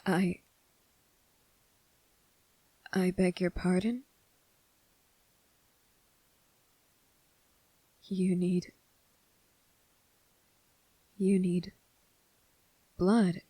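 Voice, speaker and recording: A woman voicing a gentle, earnest young male soldier in a soft-spoken, halting ASMR roleplay. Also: fairly low pitch, level tone, breathy edge, no echo, heavily acted, poor audio. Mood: concerned, confused. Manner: hesitant, halting, repeating phrases, earnest.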